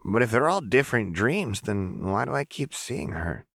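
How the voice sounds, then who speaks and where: A man voicing a restless, dreamy young woman who talks quickly and eagerly. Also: fairly low pitch, very animated, a bit bright, dry recording, clean studio sound, voice pushed up, exaggerated voice.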